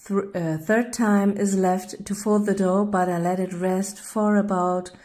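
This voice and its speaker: A woman with a German accent narrates a baking vlog in English, her second language, pausing with fillers as she hunts for words. She talks slowly in a relaxed, warm tone. She keeps apologizing for her vocabulary. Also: medium pitch. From northern Germany. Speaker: cooking vlogger. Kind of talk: narrating a cooking video.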